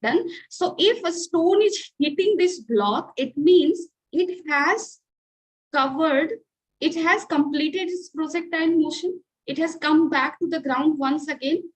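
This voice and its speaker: A woman's voice: high-pitched, measured pace, poor audio. A young Indian woman teaching physics in an online video class, mixing English and Hindi and often asking "right?" to check understanding. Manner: switches between English and Hindi, asks checking questions.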